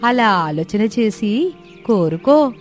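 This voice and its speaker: A woman voicing a bossy magical talking griddle in a children's story cartoon, with slow, commanding delivery. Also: medium pitch, sing-song. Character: bossy magical talking iron griddle, female, grants wishes. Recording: music bed behind the voice.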